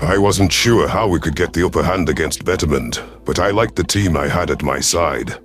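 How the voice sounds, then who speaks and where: Very deep-voiced man narrating science fiction. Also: moderate pace, fairly bright tone. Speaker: audiobook narrator. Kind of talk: scripted dramatic story narration. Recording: music behind the voice.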